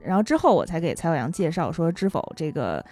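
A woman's voice: deep voice, big pitch swings, quick pace, warm tone, breathy edge, soft background music. A woman hosting a podcast in casual chat, playful and teasing, with a taste for wordplay. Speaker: podcast host.

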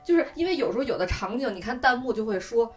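A woman's voice: on the high side, expressive, quick pace. A woman giving her opinions in a casual discussion. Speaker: discussion show participant. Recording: background music under the voice.

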